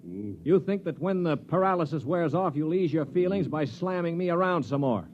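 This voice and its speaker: Man as cynical high-voiced radio-drama hero. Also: animated, normal speed, a little mellow, a little hiss or hum, lightly acted. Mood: sardonic, commanding. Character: cynical, blunt Earthman prisoner and narrator, Mister Stewart. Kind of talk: 1950s radio drama acting and narration. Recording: muffled 1950s radio recording.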